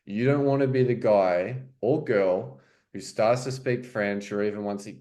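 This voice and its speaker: Male language coach, casual explainer, slightly low voice. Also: unhurried, dark tone. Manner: explanatory, repeats "right?" and "okay?". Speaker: French coach. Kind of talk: casual teaching commentary.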